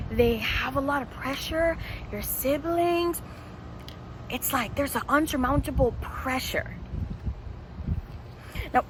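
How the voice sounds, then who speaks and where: A woman's voice: high voice, noisy background. Encouraging woman counselor from the Bahamas. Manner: encouraging, interactive, repeats for emphasis. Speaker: licensed counselor. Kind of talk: presentation to students.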